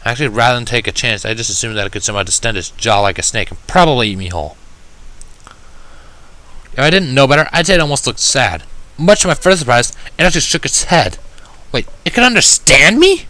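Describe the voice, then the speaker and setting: A man reading a first-person story aloud with an expressive, wry, self-deprecating delivery. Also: medium pitch, fast. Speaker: fan fiction reader.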